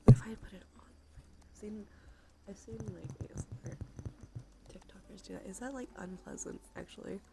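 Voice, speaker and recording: A soft-spoken woman chatting on a cozy ASMR livestream, with a creaky voice that often slips into a breathy whisper. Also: medium pitch, moderate pace, pauses often. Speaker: streamer.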